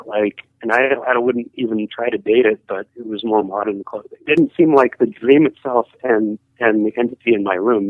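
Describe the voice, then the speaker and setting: A man calling in to tell a ghost story in a casual, halting way, full of hedging fillers, over the phone. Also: lowish voice, no echo. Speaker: caller sharing a ghost story. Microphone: telephone. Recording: tinny phone line.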